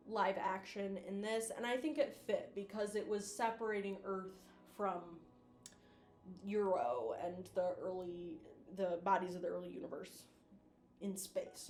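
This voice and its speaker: A woman's voice: mid-range voice, a little mellow, reverberant. A woman giving casual, slangy and effusive video commentary, like an online host chatting to viewers.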